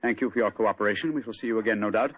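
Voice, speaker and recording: An actor playing a brisk, commanding male detective who speaks crisply, in an old radio play with a tinny sound. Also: fairly low pitch. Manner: crisp, authoritative. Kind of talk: 1940s radio acting.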